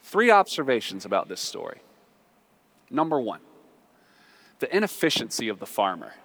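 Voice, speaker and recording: Animated American preacher man in a reverberant hall. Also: medium pitch, normal speed, creaky. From southern United States. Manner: animated, emphatic, repeats phrases for effect. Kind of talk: conversational, humorous storytelling sermon. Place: large reverberant room, live before a congregation.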